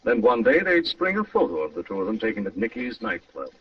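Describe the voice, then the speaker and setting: A man acting a shrewd, composed gentleman detective in an old radio drama. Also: mid-range voice, very animated, fast talker, slight background noise, natural voice. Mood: explanatory, assured. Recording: muffled old radio recording, distant and roomy.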